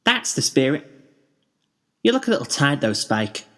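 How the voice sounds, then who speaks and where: A man's voice: mid-range voice, very animated, moderate pace, fairly bright tone. A man voicing a cheerful, determined young female cartoon pony with a bubbly, chirpy, encouraging manner in a story reading. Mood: upbeat. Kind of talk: fan fiction character reading.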